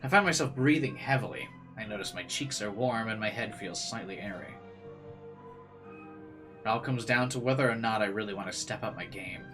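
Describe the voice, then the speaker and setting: A man's voice: fairly low pitch, a little fast. A man playing through a visual novel, reading the text aloud and adding casual commentary. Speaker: let's player. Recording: faint game music behind.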